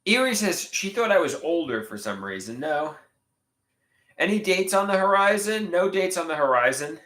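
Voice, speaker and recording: A man in his late thirties talks casually to his livestream viewers in a bright, fairly high voice, and his nose sounds stuffed. He stumbles and restarts his sentences often, and his pitch swings widely. The room around him is noticeably echoey. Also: sing-song. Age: 30s. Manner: halting, repeats words and restarts sentences. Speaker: livestream host. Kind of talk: casual livestream chat reading viewer comments.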